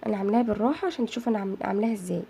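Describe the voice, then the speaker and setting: A woman's voice: medium pitch, rapid-fire, vocal fry, dark tone, slight background noise. A woman with an Egyptian Arabic accent hosting a chatty craft tutorial and talking straight to her viewers. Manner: chatty, addresses viewers as girls. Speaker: craft channel host.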